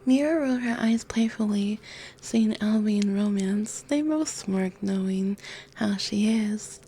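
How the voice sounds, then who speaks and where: A woman gently narrating a scripted ASMR story, breathy and often whispered, close to a binaural microphone. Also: mid-range voice, unhurried, low-quality recording. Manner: gentle, soft-spoken. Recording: faint background noise.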